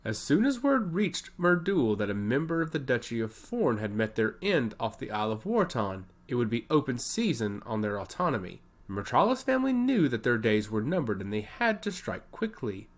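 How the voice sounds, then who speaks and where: Animated male fantasy storyteller. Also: medium pitch, normal speed, few pauses. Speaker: narrator. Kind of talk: scripted fantasy story narration.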